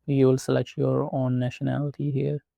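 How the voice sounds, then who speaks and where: A man from Pakistan, not a native English speaker, narrating a screen walkthrough with slow, methodical step-by-step instructions. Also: medium pitch, completely flat delivery, gravelly, dark tone, no room sound, muffled recording. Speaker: tutorial presenter.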